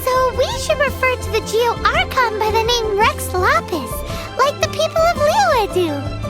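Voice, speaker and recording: Childlike fairy sidekick, very high squeaky pitch, animated swoops, bubbly, video game. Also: female voice, unhurried. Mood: chirpy, explaining. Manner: bubbly, speaks of self in third person. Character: tiny chirpy childlike female fairy companion. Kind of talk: video game dialogue acting. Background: game music with tinkling chimes.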